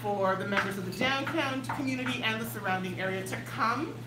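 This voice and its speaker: A woman co-hosting a live event, loud and chatty as she introduces what comes next. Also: fairly high pitch, a little fast. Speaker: event co-host. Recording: echoey room with background noise.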